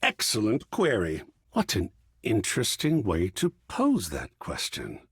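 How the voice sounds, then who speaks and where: An effusive art curator from a video game, with a wildly swooping pitch and a slightly husky edge. He is refined, fussy and theatrical, lingering over ornate phrases. The recording is dry and close. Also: wildly swooping pitch, unhurried, no echo, full character voice. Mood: musing, playful. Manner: florid, fussy, swooping, savoring words. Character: effusive, refined male art curator, an artwork come alive. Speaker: gallery curator character.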